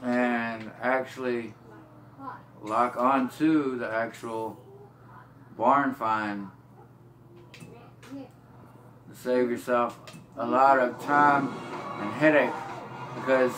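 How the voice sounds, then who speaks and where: A man walking through a driving game, talking in a relaxed, rambling way. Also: medium pitch, drawn-out words, long pauses, slight background noise. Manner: relaxed, rambling, drawled, says y'all. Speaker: gameplay tips narrator. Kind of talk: casual gameplay walkthrough. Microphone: phone filming a TV screen. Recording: game music playing behind.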